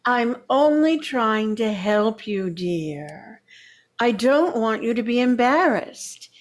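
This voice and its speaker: A woman's voice: fairly high pitch, expressive, slow. An actress playing a condescending older mother-in-law, sugary and backhanded, in vintage radio sitcom style. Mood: patronizing.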